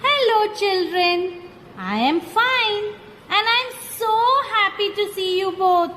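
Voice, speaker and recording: Woman as slow, high-voiced Indian granny puppet. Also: animated, pushed and bright, exaggerated voice. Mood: cheerful, affectionate. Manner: slow, deliberate, instructive delivery. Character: kindly old grandmother puppet, caring and teacherly. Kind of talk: scripted children's puppet show. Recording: echoey hall, background noise.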